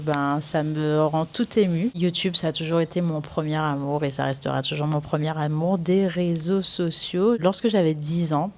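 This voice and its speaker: A woman's voice: sits low, a little mellow. A young woman from Guadeloupe introducing herself in her first vlog, speaking chatty and enthusiastic with casual fillers. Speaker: YouTuber. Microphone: microphone with camera in front.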